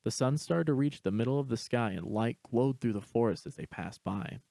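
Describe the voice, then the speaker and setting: A man's voice: mid-range voice, fairly quick, gravelly, dark tone, no echo, studio quality. A man reading scripted story narration as the narrator.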